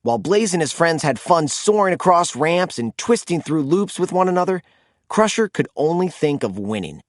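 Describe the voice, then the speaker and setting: Animated male children's storyteller. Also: a little high, moderate pace, no echo, studio quality. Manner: expressive, animated delivery for children. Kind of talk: scripted read-aloud storytelling.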